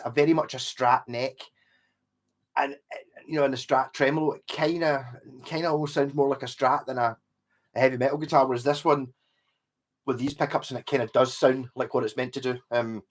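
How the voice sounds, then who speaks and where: A man hosts a casual livestream, rambling and restarting his sentences as he answers viewers and greets them loudly by name. His voice is slightly husky and sits at an ordinary pitch. A guitar can be heard behind him. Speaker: livestream host talking guitars with chat. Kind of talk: casual chat reading viewer comments.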